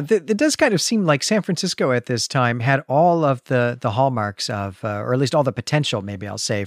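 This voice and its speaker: A man's voice: medium pitch, dry recording, studio quality. A man in his forties hosting a conversational literary discussion, analytical and given to thoughtful asides. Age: 40s. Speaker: podcast host.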